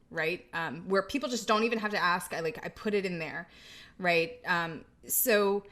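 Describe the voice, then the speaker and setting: A woman design educator speaking earnestly on a video call panel, often checking in with "right?" and filling pauses. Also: lowish voice, fairly bright tone. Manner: earnest, tag questions, fillers. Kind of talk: roundtable discussion.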